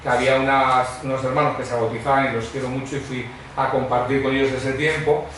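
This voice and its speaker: A middle-aged or older man gives a personal testimony to a church congregation in a conversational, expressive, digressive way, telling humorous anecdotes. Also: mid-range voice, fairly quick. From Madrid, Spain. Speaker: guest preacher giving testimony. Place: church hall before a congregation. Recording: very echoey hall, distant mic, faint noise.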